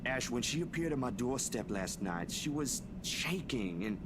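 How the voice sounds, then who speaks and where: A man voicing an easygoing, protective young man in a visual novel, talking in a casual, gently teasing way. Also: medium pitch, sing-song, moderate pace, noisy background, lightly acted. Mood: troubled, serious.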